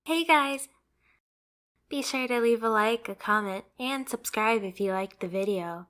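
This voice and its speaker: High-voiced woman doing an upbeat outro. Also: a little slow, a bit bright. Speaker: ASMR voice performer. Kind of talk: upbeat channel outro to viewers.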